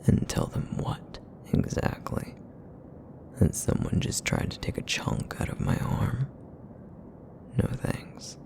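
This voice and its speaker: A man voicing a broody, guarded feral essence eater in an ASMR roleplay, with a raspy, rumbling, breathy murmur and terse, grudging phrases. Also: wildly swooping pitch, slow pace, full character voice. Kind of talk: ASMR roleplay audio acting.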